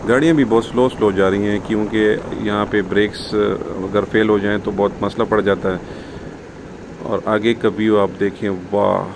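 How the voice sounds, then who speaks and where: A man's voice: on the low side, steady tone, normal speed. A man with a Pakistani accent giving casual commentary as a travel vlogger outdoors. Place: outdoors on a mountain pass, partly riding a motorbike. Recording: noisy outdoor recording with wind and vehicle noise.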